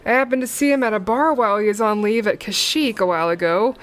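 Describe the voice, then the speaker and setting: A woman's voice: fairly high pitch, quick pace, close to an everyday voice. A woman playing a candid, discreet crew member in a sci-fi audio drama. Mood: thoughtful, confiding.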